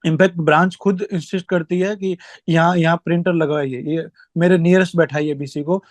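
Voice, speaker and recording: A man's voice: a little high, fairly even intonation, normal speed, no echo. A man deferentially reporting work practices to his superior on a conference call, often stumbling over his words. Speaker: regional staff member reporting.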